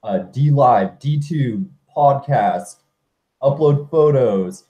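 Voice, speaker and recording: A man's voice: mid-range voice, expressive, slow pace, mellow, lo-fi sound. A man in a casual group chat, talking in a loose, chatty way full of "like" and "you know".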